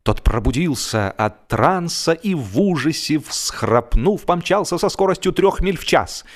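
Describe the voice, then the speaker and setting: A man narrating a humorous literary story in an expressive, mock-serious theatrical manner, with deliberate pauses. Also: a little high. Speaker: audiobook narrator, actor.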